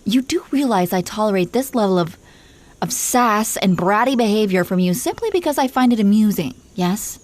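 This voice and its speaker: A woman's voice: mid-range voice, expressive, moderate pace, a little mellow, lightly acted. Woman playing a haughty, teasing dragon queen wife. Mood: indignant. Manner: imperious, teasing, affectionately condescending. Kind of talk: scripted roleplay monologue spoken to the listener.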